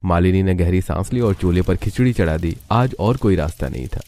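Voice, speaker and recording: A man's voice: sits low, fairly quick, a little mellow. A man reading scripted story narration as the narrator.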